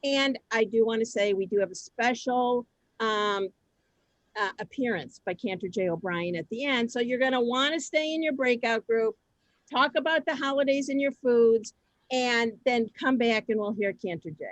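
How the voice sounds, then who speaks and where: A middle-aged woman hosting a group on a video call, chatting informally and telling stories in a conversational, animated way. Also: on the high side, normal speed, dry recording, low-quality recording. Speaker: host of a synagogue group session. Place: video call, close dry sound.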